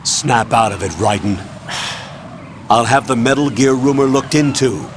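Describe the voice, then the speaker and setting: A man's voice: mid-range voice, measured pace, close to an everyday voice. An actor playing a stern male colonel giving firm orders over radio in a video game cutscene. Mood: commanding. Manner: firm, commanding, evasive. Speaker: commanding officer on radio. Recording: game mix with clear background noise.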